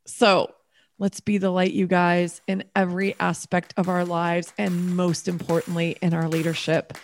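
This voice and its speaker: A woman's voice: lowish voice, level tone, normal speed, soft background music. A woman hosting a podcast, chatting enthusiastically and gushing, with lots of "like" and "you know.". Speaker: podcast host. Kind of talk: conversational podcast interview.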